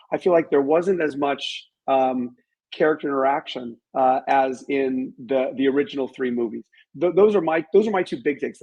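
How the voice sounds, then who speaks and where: A man speaking as an interview guest in a measured, halting way, thinking aloud and qualifying his opinions. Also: fairly high pitch, a little mellow, husky, low-quality recording. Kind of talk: reflective interview conversation about films.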